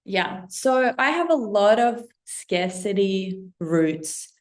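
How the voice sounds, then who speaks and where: A woman's voice: medium pitch, very animated, slow. Effusive, foul-mouthed woman from Russia. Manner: breathless, effusive, heavy swearing and frequent "like". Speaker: spiritual business coach. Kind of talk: excited casual monologue to camera.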